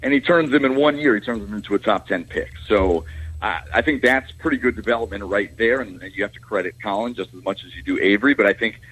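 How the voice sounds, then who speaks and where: A man speaking as a guest on sports talk radio, explaining his points in a halting, unscripted way. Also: fairly low pitch, fairly bright tone, husky, poor audio.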